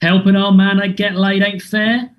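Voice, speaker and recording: An actor playing an impatient, excitable teenage lad in a play table read. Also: mid-range voice, moderate pace, natural voice.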